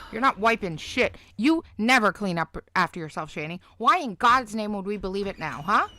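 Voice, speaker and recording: A woman's voice: mid-range voice, animated, normal speed, pushed and bright. A woman hosting a casual reaction livestream, talking back in a scornful, argumentative, mocking way and swearing freely.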